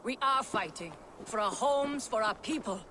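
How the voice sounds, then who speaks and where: Firm, level-headed warrior goddess. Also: female voice, fairly high pitch, expressive, moderate pace, bright, forceful tone, a little hiss or hum. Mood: earnest, firm. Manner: reasoning, earnest. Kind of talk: video game cutscene acting.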